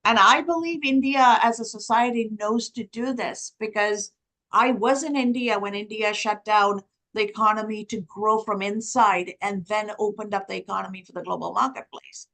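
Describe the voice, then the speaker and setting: A woman's voice: medium pitch, normal speed, fairly bright tone, rough recording. A woman from Sri Lanka whose first language is Tamil, speaking on a conference panel and building her points in long lists.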